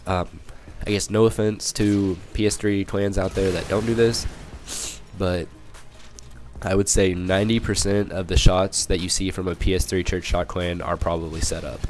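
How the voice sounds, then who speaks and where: A young man with a cold, hoarse and a little raspy, rambling through casual gameplay commentary with many fillers and restarts. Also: deep voice, level tone, moderate pace. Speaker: gaming channel commentator.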